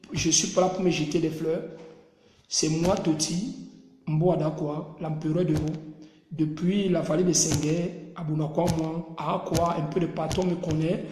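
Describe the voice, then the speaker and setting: High-voiced Cameroonian man rallying followers. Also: mellow, echoey room, in a large hall. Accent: Cameroonian French. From Cameroon, Mungo region. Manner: repetitive, rallying, preachy. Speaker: online group organizer. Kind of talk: casual live video address to followers.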